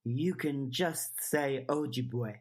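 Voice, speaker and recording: A woman's voice: extremely low pitch, slow pace, exaggerated voice. A woman voicing a guarded, aloof and weary woman in an audiobook, terse and reserved. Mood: curt. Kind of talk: audiobook character dialogue.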